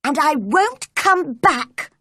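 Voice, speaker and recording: A woman voicing an angry, bossy, stubborn little girl in a storybook, with a cross, sharp manner. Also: sits high, big pitch swings, slow, no echo, studio quality, voice pushed up, heavily acted. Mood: defiant. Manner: cross, sharp, shouting outbursts.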